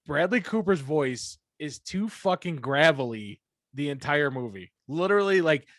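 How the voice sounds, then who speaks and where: A man's voice: fairly high pitch, lively intonation, normal speed, no echo, clean studio sound. A man co-hosting a podcast in casual chat, blunt and profane, laughing often as he gripes. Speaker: podcast co-host; performer, musician.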